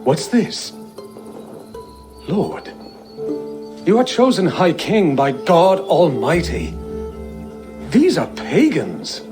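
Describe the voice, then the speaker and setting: An actor playing a pious, anxious abbot with an earnest, deferential manner. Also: male voice, on the high side, huge pitch swings, drawn-out words, pauses often, full character voice. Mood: anxious, reverent. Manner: earnest, apologetic, formal. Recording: music under dialogue.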